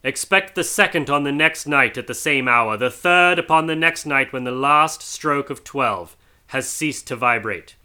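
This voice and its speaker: A man voicing an anguished male ghost in chains, declaiming in a wailing, drawn-out way. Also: medium pitch, sharp tone, clean tone. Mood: grave. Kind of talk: dramatic audiobook reading.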